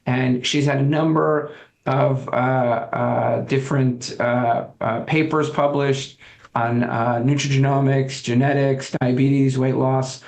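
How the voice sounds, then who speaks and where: A man's voice: mid-range voice, little pitch movement, takes it slowly, creaky, a little mellow. A man co-hosting a podcast, introducing a guest eagerly and stumbling over many fillers. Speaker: podcast co-host. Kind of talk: casual podcast chat.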